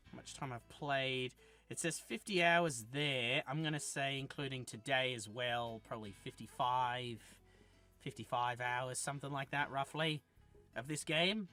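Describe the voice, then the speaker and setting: A man's voice: medium pitch, bright, forceful tone. A man giving a casual, rambling game review, often correcting himself as he talks. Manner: rambling and chatty, with frequent self-corrections.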